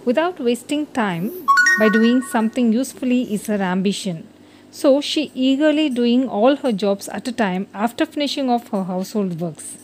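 A woman's voice: on the high side, lively intonation, moderate pace, noisy background. A woman reading a scripted moral story for children as its narrator.

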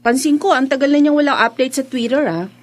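A woman playing an excitable, money-eager young girlfriend in a Filipino radio drama, gushing and coaxing. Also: high voice, quick pace.

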